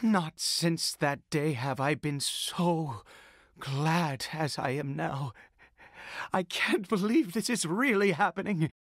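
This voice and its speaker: A man playing a fanatical, unhinged father devoted to a mysterious god in a video game, speaking in a theatrical, halting way with emphatic swells. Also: fairly high pitch, a bit bright, no echo, clean studio sound, full character voice. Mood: awed, fervent. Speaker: voice actor. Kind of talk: dramatic game dialogue acting.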